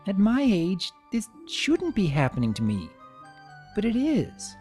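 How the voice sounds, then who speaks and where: A man voicing an anxious, excitable young female cartoon unicorn pony with a fretful, pleading delivery. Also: high voice, measured pace, breathy edge, soft background music, pitched above the performer's own voice, heavily acted. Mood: upset, self-pitying. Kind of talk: dramatic cartoon fan-fiction reading.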